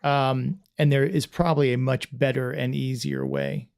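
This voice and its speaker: A man's voice: mid-range voice, steady tone, normal speed, gravelly, darkish tone. A man hosting a casual livestream podcast, rambling and self-deprecating with lots of restarts. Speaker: podcast host.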